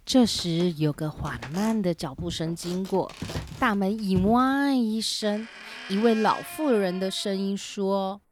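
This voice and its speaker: A woman's voice: medium pitch, measured pace, faint music behind. A woman host narrating a scripted children's story.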